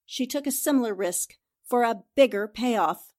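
Woman playing a high-voiced, assertive lady reporter sleuth. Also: animated, normal speed, darkish tone, close to an everyday voice. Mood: dramatic, insistent. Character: sharp, confident young female newspaper reporter sleuth. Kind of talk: radio drama acting in 1940s style.

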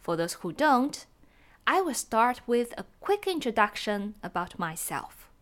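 Lady teacher hosting a podcast, slow and clear, really sing-song. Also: on the high side, very animated, fairly bright tone. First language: Chinese. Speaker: language teacher and podcast host. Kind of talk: scripted teaching introduction.

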